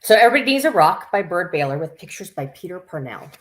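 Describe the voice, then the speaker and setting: A woman's voice: on the low side, big pitch swings, fast, fairly bright tone, rough recording. A woman hosting a live story time for children, reading aloud with informal, chatty asides. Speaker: camp staff story time host.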